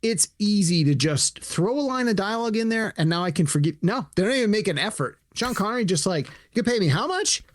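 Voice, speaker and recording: A man co-hosting a podcast in casual chat, animated, acting out imagined dialogue as he talks. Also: high-pitched, a little fast, hardly any pauses, no room sound, studio quality. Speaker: podcast co-host.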